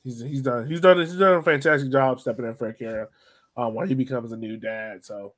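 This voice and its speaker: A man's voice: medium pitch, a little fast, a bit bright. A man with an American accent and a mid-range voice. He hosts a soccer podcast, guiding the conversation in an informal, colloquial style. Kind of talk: casual chat, leading discussion.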